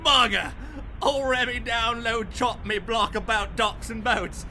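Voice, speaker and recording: A squeaky Cockney geezer. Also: male voice, steady tone, bright, forceful tone, a little hiss or hum, heavily acted. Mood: cheeky. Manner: cheeky rapid banter in rhyming slang. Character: chirpy Cockney bloke full of rhyming slang. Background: music.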